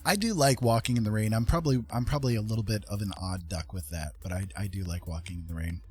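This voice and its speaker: A man's voice: lowish voice, quick pace, a little mellow, soft background music. A man hosting a casual art livestream, chatting in a rambling, folksy way. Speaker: livestream host and artist. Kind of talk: casual livestream chat while making art.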